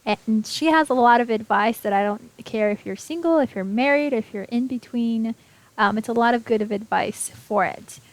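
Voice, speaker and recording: A woman's voice: fairly high pitch, normal speed, rough recording. A woman hosting an internet radio show, chatty and bubbly, laughing often as she talks. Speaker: host. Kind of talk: casual internet radio chat.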